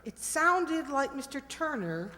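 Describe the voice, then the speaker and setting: Lady amateur detective female performer: high pitch, polite and composed, radio mystery, hall reverb. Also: sing-song, takes it slowly, sharp tone, full character voice. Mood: earnest, helpful. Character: polite, friendly married woman amateur sleuth.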